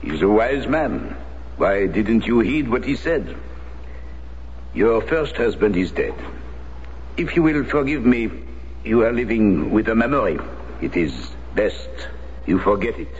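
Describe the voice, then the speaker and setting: An actor playing an older priest in a radio drama, speaking in a measured, formal, hesitant way. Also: male voice, on the low side, animated. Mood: resigned, firm. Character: guarded French parish priest, former Resistance leader. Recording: dull, noisy vintage radio recording.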